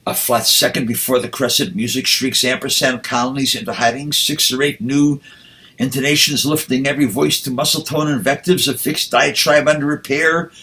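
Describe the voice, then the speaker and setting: A man, a poet, speaking and reciting with deliberate, measured phrasing. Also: medium pitch, fairly even intonation, bright, forceful tone. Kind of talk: spoken introduction and poetry recitation.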